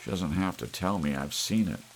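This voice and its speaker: A man's voice: sits low, normal speed, vocal fry. A man playing a stern, snappy father. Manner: snappy, clipped commands. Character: stern, irritable father, commanding, short-tempered. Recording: hissy, soft rain sounds behind.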